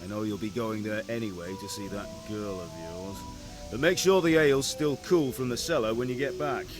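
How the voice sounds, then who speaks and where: A man's voice: lowish voice, moderate pace, close to an everyday voice. A man playing a middle-aged village blacksmith, firm and plain-spoken as he orders his son about in a video game cutscene. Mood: teasing, bossy. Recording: music behind the voice.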